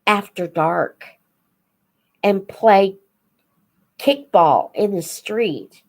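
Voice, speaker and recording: An older woman speaks at a slow, deliberate pace in a medium-pitched voice. She hosts a casual live chat for young viewers, talking in a reflective, folksy way and taking her time over each phrase. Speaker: host of a children's book read-aloud channel.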